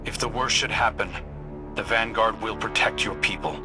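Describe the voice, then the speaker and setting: Man as a low-voiced, frank soldier ally. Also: steady tone, moderate pace, natural voice. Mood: grave, reassuring. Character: plain-spoken human military ally, frank and steady. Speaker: video game character. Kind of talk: dramatic game voice acting. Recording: loud music under the voice.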